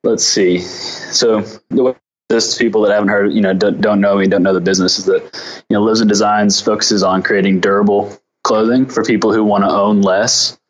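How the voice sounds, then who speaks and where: Low, even-voiced American man. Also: normal speed, poor audio. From Arkansas, United States. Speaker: company founder, interview guest. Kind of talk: conversational interview answers.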